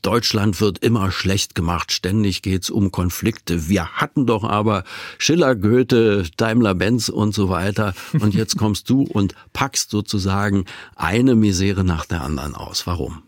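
Low-voiced man in his sixties, storytelling. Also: unhurried, no echo, clean studio sound. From West Berlin. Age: 60s. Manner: lively anecdotal storytelling with hesitations. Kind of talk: conversational interview.

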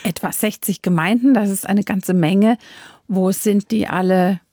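A woman interviewing a guest, asking calm, clear questions. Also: medium pitch, unhurried, dry recording, studio quality.

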